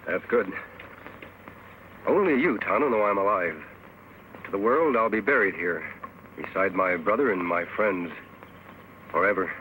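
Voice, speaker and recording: Steadfast western hero, lowish forceful voice, animated, earnest and commanding, old radio drama, noisy recording. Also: male voice. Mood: grave, resolute. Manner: earnest, deliberate, authoritative.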